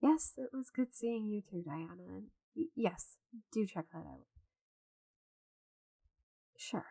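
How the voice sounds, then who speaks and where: A woman playing a friendly, chatty, slightly scatterbrained forest witch in an ASMR roleplay audio drama, with a gentle, theatrical manner. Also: medium pitch, expressive, takes it slowly, creaky, breathy edge, dry recording, exaggerated voice, poor audio. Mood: nervous feigned innocence.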